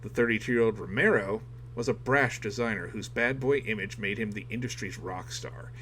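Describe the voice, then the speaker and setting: Man reading dramatically over clear background noise. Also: fairly low pitch, moderate pace, a bit bright. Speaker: audiobook reader. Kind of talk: dramatic scripted narration.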